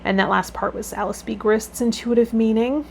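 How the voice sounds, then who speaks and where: A woman's voice: a little high, steady tone, normal speed, vocal fry, a little hiss or hum. A woman hosting a tarot video, reading card meanings aloud and adding casual commentary.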